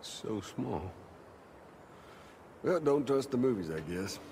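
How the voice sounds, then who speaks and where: An actor playing a dry, laconic middle-aged Texan father figure, gruff but gentle, in a naturalistic video game style. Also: medium pitch, animated, slow, slight background noise. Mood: gently teasing.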